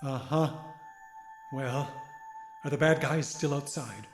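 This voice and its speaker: A man's voice: medium pitch, slow, roomy sound, soft background music, lightly acted. A man playing a determined, apologetic grandfather in an audio drama. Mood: firm. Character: determined, apologetic grandfather leading a desperate plan.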